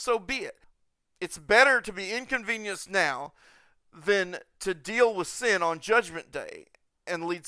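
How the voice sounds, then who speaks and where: Bright, clear-voiced man hosting a podcast. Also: sits high, dry recording. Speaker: podcast host.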